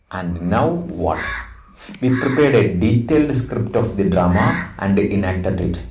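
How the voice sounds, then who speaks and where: A middle-aged man with an Indian accent reads a school project script aloud as an English teacher, explaining it deliberately in short, didactic phrases. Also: on the low side, darkish tone. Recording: roomy echo, distant mic, faint noise.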